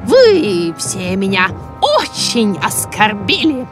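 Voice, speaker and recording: A woman's voice: mid-range voice, wildly swooping pitch, slow pace, music in the background. A woman voicing an offended, vengeful elderly fairy with a haughty, reproachful manner in a fairy-tale cartoon. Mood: indignant, wounded.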